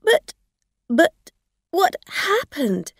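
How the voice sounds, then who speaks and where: A woman's voice: mid-range voice, sing-song, drawn-out words, creaky, a little mellow, no room sound, clean studio sound, heavily acted. A woman voicing a tipsy, frightened woman driver in an audio story, hesitant, stammering and pleading. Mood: shocked. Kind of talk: acted dialogue in an audio story.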